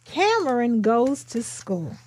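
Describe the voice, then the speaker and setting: A woman author presenting and reading her own children's book in an expressive, emphatic way. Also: on the high side, slow pace, low-quality recording. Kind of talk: expressive storybook read-aloud.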